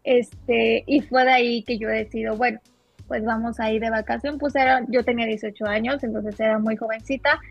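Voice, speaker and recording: A young woman from Mexico with a Mexican accent, talking as a guest on an interview podcast. Her voice is husky and sits a little high. She rambles warmly with fillers, laughs, and the recording is dull, with faint music behind. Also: steady tone, normal speed. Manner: chatty, laughs often, many fillers. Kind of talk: casual interview storytelling.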